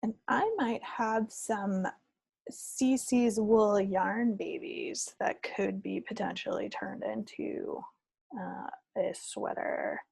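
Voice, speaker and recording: A woman's voice: medium pitch, slow, creaky, a little mellow, husky, no echo. A woman co-hosting a podcast, talking in an informal, folksy, chatty way. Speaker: podcast co-host. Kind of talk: casual chat.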